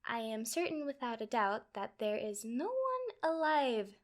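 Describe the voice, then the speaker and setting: A woman playing a nervous, lovestruck and clingy yandere girlfriend in a comedic roleplay, halting and rambling as she talks. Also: a little high, sing-song. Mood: earnest, rambling.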